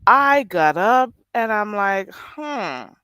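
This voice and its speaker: A woman's voice: mid-range voice, lively intonation, slow, bright, forceful tone, clear, resonant tone, dry recording, studio quality. Stuffy, nasal woman chatting live late at night. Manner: chatty, laughs often, drawls "child". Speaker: live streamer reading chat. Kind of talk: casual late-night live stream chat.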